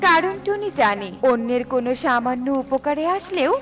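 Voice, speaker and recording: A woman's voice: high voice, expressive, normal speed. A woman reading scripted fairy-tale narration for a children's cartoon.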